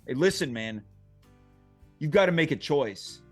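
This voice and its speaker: A man's voice: a little high, animated, a little slow, faint music behind. A man playing a stern but kindly senior prison inmate in a comedic table read, firm and encouraging.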